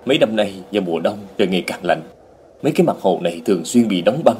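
Animated, earnest, flustered husband. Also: male voice, low voice, quick pace, a little hiss or hum. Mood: urgent. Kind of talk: dubbed animation acting.

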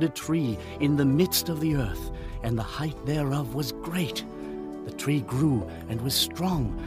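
Commanding ancient king, solemn declamation, fairly high pitch, scripture drama, clear backing music. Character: proud, commanding ancient king proclaiming to his people.

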